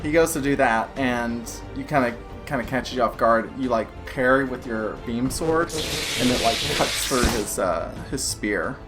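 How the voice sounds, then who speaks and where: A woman's voice: a bass voice, measured pace, over music. A woman narrating a tabletop game as game master, loose and giggly with lots of fillers.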